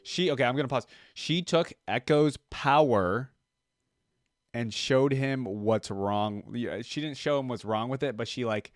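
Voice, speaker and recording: A man's voice: mid-range voice, measured pace, dry recording, clean studio sound. A man hosting a reaction video, commenting casually in an amused, slangy, informal way.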